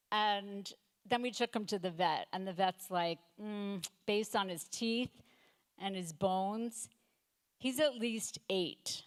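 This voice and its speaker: A woman in her fifties doing a stand-up comedy set, delivering deadpan one-liners with halting fillers and self-corrections. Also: mid-range voice. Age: 50s. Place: comedy night stage before a live audience.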